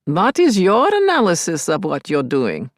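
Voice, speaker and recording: An actress voicing an older woman clinical mentor in an audio play, asking pointed questions in a measured, mildly amused way. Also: fairly low pitch, wildly swooping pitch, no room sound, clean studio sound. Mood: probing, amused.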